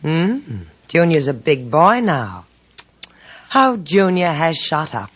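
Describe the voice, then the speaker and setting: Wry American dame, low-slung banter, old radio. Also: female voice, very animated, takes it slowly, lo-fi sound, full character voice. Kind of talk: old-time radio drama acting. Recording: noisy old-time radio recording.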